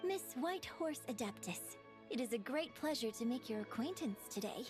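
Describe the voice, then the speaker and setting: A woman's voice: a little high, animated, normal speed, over music, natural voice. A woman voicing a courteous, cultured young lady in a video game, with polite, eloquent and formal phrasing. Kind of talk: scripted video game dialogue.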